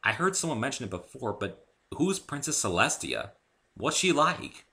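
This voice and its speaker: A good-humoured, polite human man as the hero of a story, acted by a male reader. He sounds curious and earnest, with lively intonation and a bright tone. His manner is bantering. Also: medium pitch, moderate pace, gravelly, voice pushed up, heavily acted. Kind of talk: dramatic story reading.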